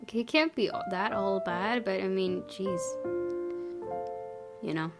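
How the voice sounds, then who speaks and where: A woman's voice: mid-range voice, unhurried. A young adult woman hosting a let's-play, reading visual novel narration expressively with informal, casual reactions. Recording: voice over prominent game music.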